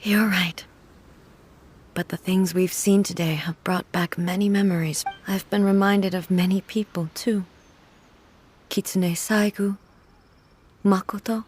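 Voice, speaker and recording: An actress playing a solemn, regal warrior deity in a video game, grieving yet composed, with formal, measured phrasing and a soft, breathy voice. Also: female voice, medium pitch, steady tone, slight background noise, close to an everyday voice. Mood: reflective. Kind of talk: video game story dialogue.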